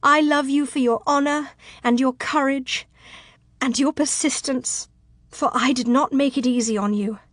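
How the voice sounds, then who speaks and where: A woman's voice: high-pitched, fairly even intonation, measured pace, no room sound, clean studio sound, pitched above the performer's own voice. A woman acting a proud, ringing young noblewoman. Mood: loving, emotional. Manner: ringing, impassioned, declamatory. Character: proud, passionate young medieval noblewoman, brave and loyal.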